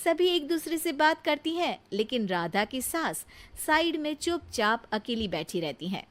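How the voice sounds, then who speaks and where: A woman's voice: high-pitched, very animated, measured pace. A woman storyteller reading scripted narration for a moral family tale.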